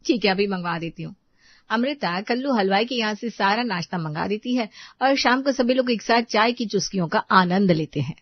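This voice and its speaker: A woman storyteller delivers scripted narration of a domestic tale. Her pitch is average, and the sound is very dry and a little dull. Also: lively intonation, moderate pace, few pauses, dry recording.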